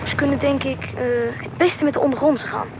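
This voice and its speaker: A young performer playing a lively thirteen-year-old Russian boy who narrates and acts in a radio drama, with a slightly husky boyish voice. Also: high-pitched, brisk, dull audio, background noise. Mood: hesitant. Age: young teen. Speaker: main character and narrator of a radio play. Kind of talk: radio drama storytelling and dialogue.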